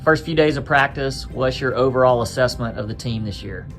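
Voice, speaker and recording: A man's voice: mid-range voice, fairly quick, over music. A man interviewing soccer players, asking friendly, upbeat questions in a casual way.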